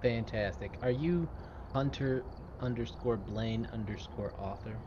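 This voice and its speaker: A man talks casually with his gaming partner in an average-pitched voice. The sound is dull and lo-fi, like voice chat, with very loud noise over it. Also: measured pace.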